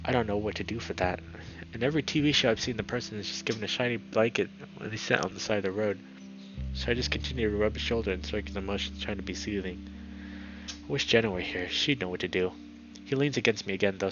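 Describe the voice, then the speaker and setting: Low-voiced man narrating a visual novel. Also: lo-fi sound, background noise. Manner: dramatic, stumbles and rereads words. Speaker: video creator reading a visual novel aloud.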